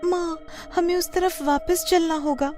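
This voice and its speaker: A woman voicing a small, wide-eyed child in a story, with a drawn-out childlike delivery. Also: very high-pitched, fairly even intonation, a little mellow, soft background music, voice pushed up. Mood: frightened.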